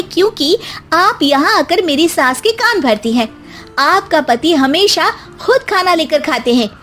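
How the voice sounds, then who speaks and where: A woman as a high-voiced, outspoken young daughter-in-law. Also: a bit bright, exaggerated voice. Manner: emphatic scolding.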